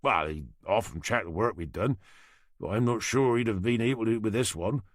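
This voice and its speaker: A man's voice: on the low side, moderate pace, no room sound, clean studio sound, lightly acted. A man doing an older Norfolk boatyard hand. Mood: respectful. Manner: deferential, says "sir" often. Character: gruff older male boatyard worker.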